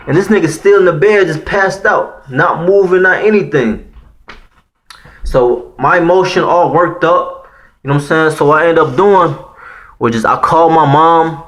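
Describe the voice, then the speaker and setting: A man's voice: on the high side, moderate pace, fairly bright tone. A man in his thirties from Haiti with a Haitian accent, telling a personal story to viewers in a casual, slangy, profane way with lots of "man" fillers. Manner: slangy and profane, peppered with "man" and "you know what I'm saying".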